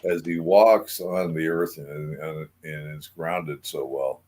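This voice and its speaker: A man hosting a casual radio show, talking in a halting way and repeating words as he explains. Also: rumbling low, fairly even intonation, darkish tone. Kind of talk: casual chat.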